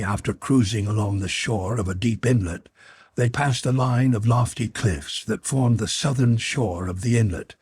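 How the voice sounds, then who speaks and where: Male audiobook narrator for literary adventure fiction: low-pitched, husky, recorded close and dry. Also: a little mellow, dry recording.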